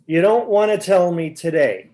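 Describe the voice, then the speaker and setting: A performer acts out a confused, increasingly exasperated questioner in a stage comedy double act. The voice sits at a middle pitch and pushes insistently through each question. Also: animated, moderate pace, warm tone, natural voice. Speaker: amateur readers theater performer. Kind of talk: staged comic dialogue reading.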